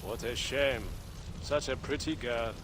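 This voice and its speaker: An actor as a somber, mid-pitched war veteran. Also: male voice, fairly even intonation, unhurried, background noise, lightly acted. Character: weary, somber male war comrade. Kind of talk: video game cutscene acting.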